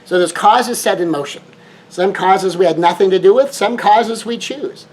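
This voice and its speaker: A man's voice: high voice, moderate pace, a little mellow, low-quality recording. Emphatic man giving a motivational talk. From Southern California. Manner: repetitive, emphatic phrasing with varied tempo. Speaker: life coach.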